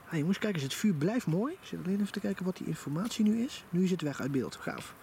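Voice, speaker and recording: A man's voice: sits high, big pitch swings, fast talker, darkish tone. A man giving enthusiastic, casual vlog commentary outdoors, recorded on a handheld camera. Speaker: vlogger. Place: outdoors in a forest at night by a campfire. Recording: noisy outdoor camera audio.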